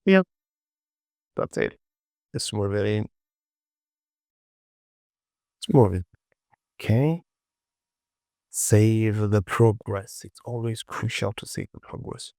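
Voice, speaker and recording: Male tutorial instructor, low and unhurried, informal short phrases, close dry recording. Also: dark tone, no room sound. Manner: informal, muttered short instructions to self and viewer. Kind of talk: casual screen-recorded software tutorial, talking while working.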